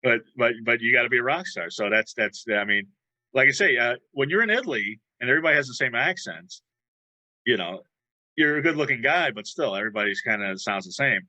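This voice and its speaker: Male host, rambling and teasing, very bright tense tone, dry close mic. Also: medium pitch, animated, fairly quick, no echo, poor audio. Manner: rambling, repeats words, teasing. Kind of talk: casual interview chat.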